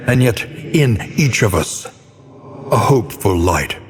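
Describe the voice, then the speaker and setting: A man playing a brooding, eloquent mentor who narrates a dark video game in a solemn, theatrical, portentous manner. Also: fairly low pitch, expressive, slow pace, long reverb tail. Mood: grave, reflective. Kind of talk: dramatic scripted game narration.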